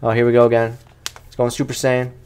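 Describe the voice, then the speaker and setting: A man's voice: mid-range voice, completely flat delivery, fast talker, a little mellow, smooth. A man giving casual let's play commentary, talking to himself and his opponent in slangy speech. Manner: slangy, talks to himself and to the game enemy. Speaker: gaming host, let's play streamer.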